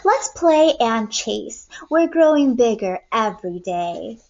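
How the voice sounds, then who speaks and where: A young woman teacher reading aloud to kindergartners in an expressive storytelling way, recorded indoors on a computer mic. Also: fairly high pitch, slow pace, dull audio, noisy background. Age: young adult. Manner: expressive, clearly paced storytelling for children. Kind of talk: read-aloud lesson for young children. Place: small room at home, indoors.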